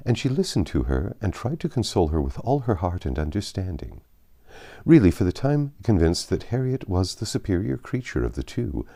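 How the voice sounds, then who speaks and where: A man reading a classic novel aloud as an audiobook narrator, with measured, expressive phrasing and drawn-out emphasis. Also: low voice.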